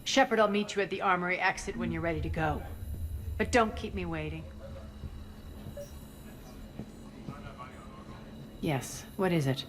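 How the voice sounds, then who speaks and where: An actress playing a ruthless, imperious alien crime boss in a video game, cynical and commanding, with clipped sardonic delivery. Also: female voice, on the low side, fairly quick, close to an everyday voice. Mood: cool disdain.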